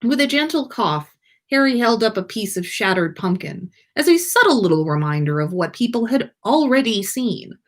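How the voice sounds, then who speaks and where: A woman reading a story aloud in an animated narrating style. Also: a little high, normal speed. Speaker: podcast host reading fan fiction aloud.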